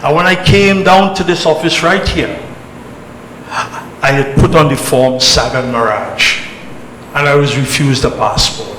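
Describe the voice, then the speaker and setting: Male community leader, deliberate and emphatic public speaker, echoey amplified hall with faint background noise. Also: medium pitch, fairly bright tone. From born in Trinidad, spent early life in England. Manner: emphatic, deliberate oratory with short phrases and repetition. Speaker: religious organisation leader giving a speech. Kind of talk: public speech to a community gathering. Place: temple celebration, amplified to an audience.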